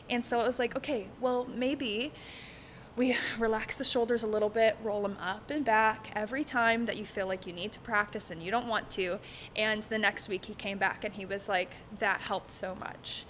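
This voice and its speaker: A woman's voice: mid-range voice, fairly even intonation, normal speed, fairly bright tone, a little hiss or hum. Chatty young woman from Texas. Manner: chatty, laughs often, many ums. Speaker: guest, music teacher. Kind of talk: casual interview conversation. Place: bright living room set. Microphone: stand mic in front.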